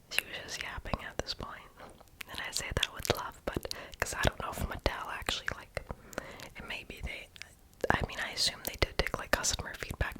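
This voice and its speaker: A person whispering a casual, rambling ASMR voiceover in a breathy, unvoiced voice, often stopping to correct themselves. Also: low-quality recording. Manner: casual, rambling, hesitant self-correcting talk. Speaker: ASMR video creator.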